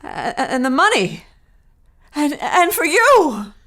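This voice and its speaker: An actress playing a desperate, wounded, scheming mistress in a gothic audio melodrama, overwrought and halting. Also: female voice, high-pitched, big pitch swings, a bit bright, full character voice. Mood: dazed, hopeful.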